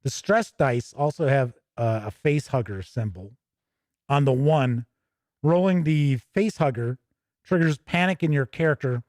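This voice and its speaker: A man's voice: medium pitch, measured pace, darkish tone, no room sound, clean studio sound. A man hosting a tabletop gaming show, giving casual, chatty, rambling commentary off the cuff. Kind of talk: casual unscripted commentary while leafing through a book.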